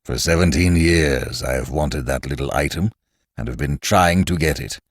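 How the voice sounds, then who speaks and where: A man's voice: very deep voice, moderate pace, no echo, clean studio sound. A man voicing a jovial, fat older schemer who talks in a complacent, throaty purr.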